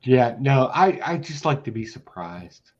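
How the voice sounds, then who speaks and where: A man's voice: medium pitch, big pitch swings, a little slow. A man in his forties hosting a casual chat, hyped and egging others on. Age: 40s. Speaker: host. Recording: dull lo-fi sound with faint noise.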